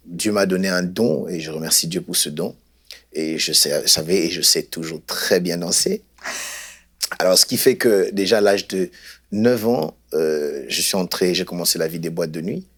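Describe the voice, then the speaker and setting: A man from Congo, a guest pastor telling his life story in an unhurried, hesitant way. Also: deep voice. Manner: unhurried, hesitant, many fillers.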